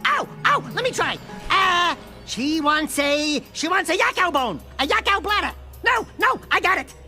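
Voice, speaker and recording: A brash little animal sidekick from a video game. The voice is very high and wildly animated, sarcastic and cocky, over prominent music. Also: male voice, normal speed, exaggerated voice. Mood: frantic guessing. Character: cocky wisecracking flirtatious small furry male sidekick creature.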